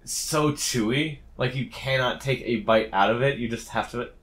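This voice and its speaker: Very low-voiced woman running a tabletop game. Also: moderate pace. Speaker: game master. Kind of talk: casual tabletop game narration and banter.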